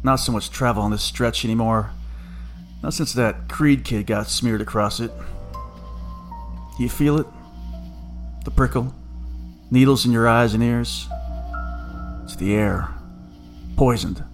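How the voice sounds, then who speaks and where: An actor playing a weary, sinister, folksy dark man in an audio drama, musing wryly. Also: medium pitch, lightly acted. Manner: slow, wry, musing. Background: music.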